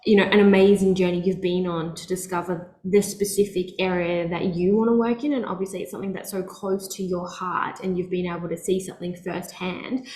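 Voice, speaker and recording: A woman's voice: on the low side, steady tone, brisk, hardly any pauses, a little mellow, roomy sound. A woman hosting a wellness podcast as a nutritionist, effusive and enthusiastic as she introduces and chats with her guest. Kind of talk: podcast hosting and casual chat.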